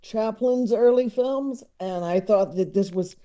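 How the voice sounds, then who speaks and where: A man's voice: high-pitched, unhurried, mellow. A man in his thirties chatting as a podcast guest, rambling and repeating words as he gathers his thoughts. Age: 30s. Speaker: podcast guest, comedy expert. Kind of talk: casual chat.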